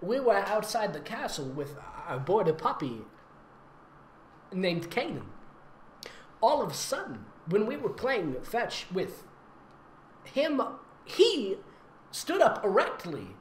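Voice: mid-range voice, big pitch swings, takes it slowly, long pauses. A fan fiction reader doing a comic, theatrical read-aloud, with mocking asides and frequent laughter. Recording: roomy echo with faint background noise.

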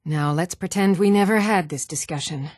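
Woman acting an even-toned fantasy townswoman, dry close sound. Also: on the low side, no room sound, close to an everyday voice. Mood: cool, measured. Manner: measured, even-toned, clearly enunciated. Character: even-toned young Nordic townswoman and warrior in a fantasy game. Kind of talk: scripted video game dialogue.

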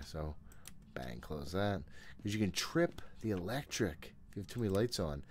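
Male gaming streamer, thirties, low register. Nervous, wisecracking horror playthrough chatter, close desk mic with faint background ambience. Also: slight background noise. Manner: nervous, jokey, profane, self-narrating. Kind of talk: casual playthrough commentary of a horror game. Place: small room at home, indoors. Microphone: desk condenser mic close by, headphones on.

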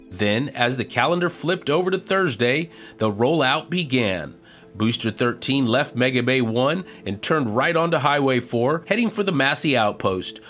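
A man reading a scripted news narration in an even, measured reporting tone as a voice-over. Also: medium pitch.

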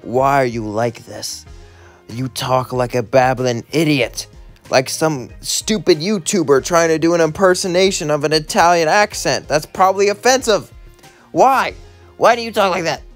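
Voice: medium pitch, moderate pace, soft background music, close to an everyday voice. A man voicing a haughty, irritated cartoon villain in a condescending, exasperated manner.

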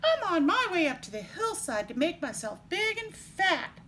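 A woman's voice: very high voice, sing-song, moderate pace, pushed and bright, slight background noise, pitched above the performer's own voice, heavily acted. A woman voicing a tiny, timid young male billy goat from a children's storybook, in a very small voice. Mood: timid, eager.

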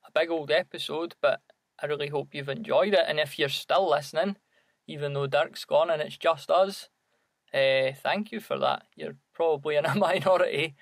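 A man's voice: on the high side, moderate pace, creaky, dry recording, clean studio sound. A Scottish man co-hosting a podcast, chatting casually in a hesitant, reflective way. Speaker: podcast co-host.

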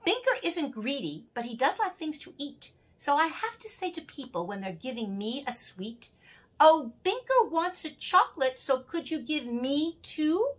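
A woman's voice: high voice, very animated, measured pace, voice pushed up. A woman in her sixties voicing a fond, earnest little boy in a storybook poem, in a singsong, confiding way.

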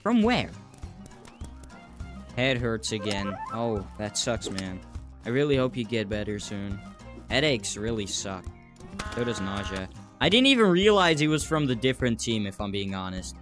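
A man's voice: lowish voice, moderate pace, music in the background. A young man streaming a VR game live, chatting casually with viewers while he plays. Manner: relaxed, chatty, answers viewers while playing. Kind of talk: casual live gameplay chat. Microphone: desk mic, wearing a VR headset.

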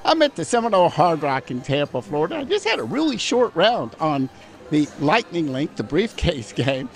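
An older man with a hoarse, raspy voice, chatting casually to viewers while playing slots in a casino. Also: fairly high pitch, big pitch swings, normal speed. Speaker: slot machine vlogger. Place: busy casino floor. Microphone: handheld microphone in front of him. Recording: casino slot machine music behind. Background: slot machine music and sounds.

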